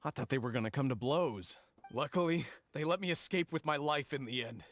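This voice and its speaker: A man's voice: mid-range voice, lively intonation, brisk, faint music behind, natural voice. A man playing a timid, shaken merchant in a video game, talking in an anxious, cautioning way.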